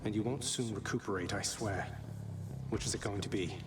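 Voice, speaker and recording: Loud music sits under this video game hero, a young prince of the gods, voiced by a man. His voice is a touch low. He is wry, polite and cheekily unbothered, with a protective streak. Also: brisk, natural voice. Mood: firm, impatient.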